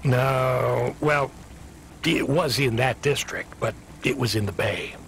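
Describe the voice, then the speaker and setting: A man's voice: mid-range voice, takes it slowly, creaky, a bit bright. An actor playing an evasive, officious delivery dispatcher in a sci-fi video game, hesitant in his talk. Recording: noisy vehicle ambience behind.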